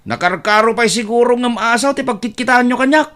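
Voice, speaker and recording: A man's voice: very high voice, fairly quick, a bit bright. A man playing a put-upon young nephew in an audio drama, plaintive and exclamatory, with an Ilocano accent. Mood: hurt, indignant.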